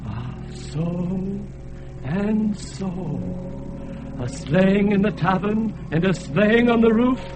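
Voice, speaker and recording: Radio drama: suffering ancient blind god, high and slow, under music. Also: male voice, expressive. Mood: mournful. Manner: slow, anguished, mournful. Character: ancient blind tortured elephant-headed god, male.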